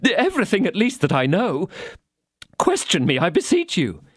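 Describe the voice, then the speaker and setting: A man voicing a frightened, fawning tradesman who pleads in a shrill, flustered voice. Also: wildly swooping pitch, moderate pace, a bit bright, breathy edge, no echo, clean studio sound, exaggerated voice. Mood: pleading. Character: frightened fawning middle-aged male mercer.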